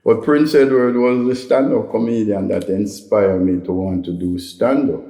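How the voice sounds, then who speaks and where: A middle-aged Jamaican man, a comedian, telling stories in a relaxed, rambling interview, often tagging his lines with "right?" and "you know". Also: lowish voice, normal speed, echoey room. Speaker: comedian guest.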